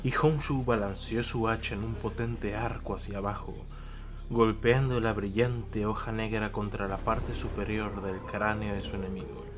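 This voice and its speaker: A man's voice: lowish voice, steady tone, normal speed, noisy background. A man narrating a scripted war story for an audio drama in a dramatic storytelling style. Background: prominent music.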